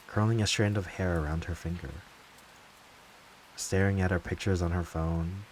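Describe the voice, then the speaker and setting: A low, slow-spoken wounded lover, acted by a man in a late-night audio drama, brooding bitterly over betrayal through faint hiss. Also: darkish tone, poor audio. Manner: slow, deliberate, brooding, heavy pauses. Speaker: voice actor. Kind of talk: dramatic audio monologue, ASMR-like.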